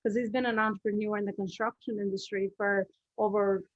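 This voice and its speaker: A woman's voice: mid-range voice, flat delivery, fairly quick, no echo, dull audio. A middle-aged woman with an Albanian accent, a business coach telling her story as a podcast guest, chatty with many fillers and restarts.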